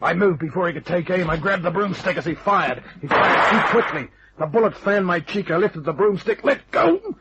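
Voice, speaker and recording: A man's voice: sits high, animated, moderate pace. An actor playing a tough, wisecracking detective hero, telling his story in clipped, wry phrases in an old-time radio crime drama. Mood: urgent, excited. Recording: dull, noisy vintage radio recording.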